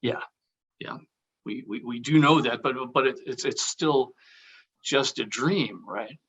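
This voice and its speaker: Man playing a husky, wry film-set gossip. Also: mid-range voice, unhurried, fairly bright tone, highs cut off. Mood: uneasy. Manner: wry, casual. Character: gossipy, uneasy male hockey film cast member.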